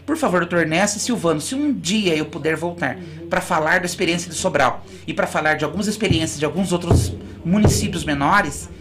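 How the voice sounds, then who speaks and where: A woman's voice: on the low side, animated, brisk, hardly any pauses, crowd noise. A school director from Brazil, a guest on a radio interview. She explains in long, earnest runs and often restarts her sentences. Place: radio studio.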